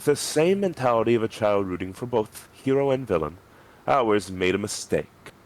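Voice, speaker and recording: A man's voice: on the low side, sing-song, normal speed. A man narrating a story aloud as a dramatic reading.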